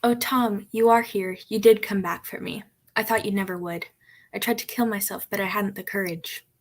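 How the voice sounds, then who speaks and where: Lovelorn village girl for radio play female actor: medium pitch, fragile, plaintive, emotional. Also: steady tone, moderate pace, natural voice. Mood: relieved, weary. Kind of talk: old-time radio drama acting.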